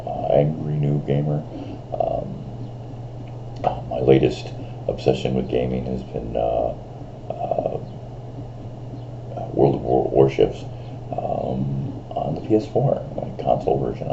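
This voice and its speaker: Very deep gravelly man in his fifties. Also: muffled recording, noisy background. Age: 50s. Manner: rambling, lots of fillers, self-described big loud voice. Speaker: vlogger. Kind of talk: rambling casual vlog monologue. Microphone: camera on a screen in front of him.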